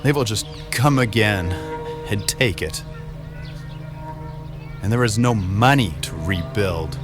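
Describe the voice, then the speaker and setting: A man's voice: lowish voice, animated, takes it slowly, music in the background. A man voicing an embittered, shocked villager who has lost everything, in an audio story. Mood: bitter, dejected. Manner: bitter, emotional. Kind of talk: audio story character dialogue.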